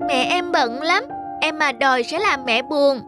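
Cartoon dub: sweet, eager little girl, high and childlike, over music. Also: a little slow. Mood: quietly wistful. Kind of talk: animated cartoon dubbing.

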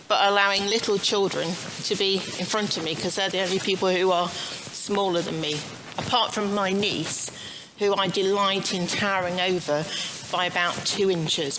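A middle-aged woman leading an informal church service in a chatty, halting, self-deprecating way. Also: mid-range voice. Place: church, standing before the altar. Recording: noticeable background noise, church ambience.

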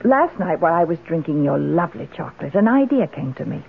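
An actress playing a genteel, well-to-do middle-aged widow in a radio drama, gracious and expressive. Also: female voice, deep voice, fast, creaky, a little hiss or hum, full character voice. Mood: gracious, cheerful. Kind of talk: old-time radio drama acting. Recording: muffled, band-limited old radio broadcast.

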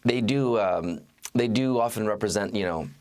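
Low-voiced man, measured interview guest. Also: darkish tone, clear, resonant tone. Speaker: guest, journalist and author. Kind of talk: interview, recounting his reporting. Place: TV studio. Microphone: lapel mic.

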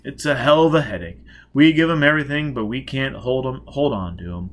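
A man's voice: mid-range voice, lively intonation, a little fast, close to an everyday voice. A man voicing a boastful, overeager small-town mayor in an audiobook, with clipped, exclamatory sales patter. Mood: exasperated.

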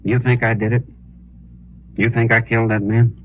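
An actor playing a weary, earnest young condemned prisoner in a 1950s radio western, with plain, halting rustic speech. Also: male voice, mid-range voice, flat delivery, close to an everyday voice. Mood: earnest, pleading. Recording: tinny, noisy old radio recording.

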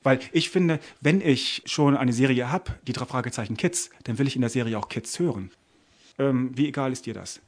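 A man's voice: medium pitch, moderate pace. A man giving articulate, opinionated criticism as co-host of an audio drama review show.

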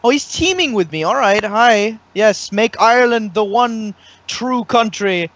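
A man's voice: high voice, lively intonation, a little slow, a bit bright, lo-fi sound. A Swedish man chatting casually and swearing while playing an online game with friends. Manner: joking, swears a lot. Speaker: gamer, channel regular. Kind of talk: casual gaming banter.

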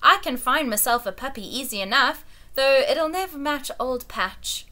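A woman's voice: high-pitched, normal speed, sharp tone, voice pushed up. A woman voicing a poor, tearful old shepherd who speaks in a rustic country dialect. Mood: mournful.

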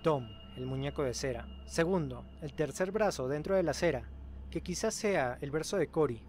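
A man's voice: fairly high pitch, animated, normal speed, a little mellow. A man reading scripted narration for a horror analysis video. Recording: music under the voice. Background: music.

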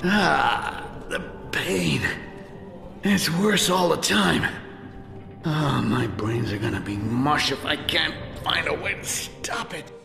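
Tormented, deeply croaky goblin. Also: male voice, expressive, slow, music in the background, exaggerated voice. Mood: pained. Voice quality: croaky, rasping. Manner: agitated, muttering in broken phrases. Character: tormented foul-mouthed old male goblin, obsessive and bitter. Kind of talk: video game character acting.

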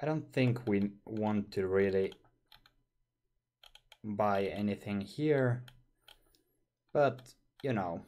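Slow-talking Finnish man streaming a game. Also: lowish voice, darkish tone. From Finland. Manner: musing, chatty with viewers. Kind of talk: casual gameplay commentary. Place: small room indoors.

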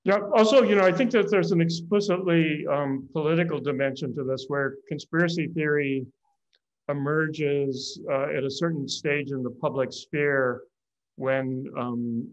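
A middle-aged man speaking as a panelist in an academic discussion over a video call from a small room at home, slow and halting with many fillers and restarts. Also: a little high, fairly even intonation, dull audio.

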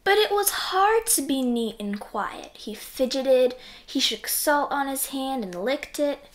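A woman with a high voice reads a children's storybook aloud. She goes slowly, swinging widely in pitch and playing up every sound word in an animated way. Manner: animated, acting out sound effects. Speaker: reader of children's books. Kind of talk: expressive storybook read-aloud.

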